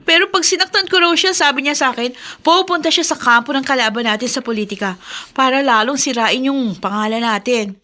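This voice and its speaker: A spoiled young daughter in a radio drama. Her voice is high and girlish, full of indignation, and she complains dramatically. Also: fairly quick, sharp tone. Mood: spiteful, frustrated. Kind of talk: Tagalog radio drama acting.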